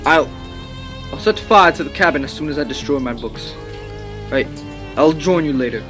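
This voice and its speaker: A tormented, guilt-ridden scientist, played by a man with a somewhat high voice. He speaks with fervent, theatrical anguish in old radio drama style, over faint music. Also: moderate pace, a bit bright, natural voice. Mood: desperate. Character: tormented, guilt-ridden male scientist haunted by his creation. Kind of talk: old-time radio drama acting and narration.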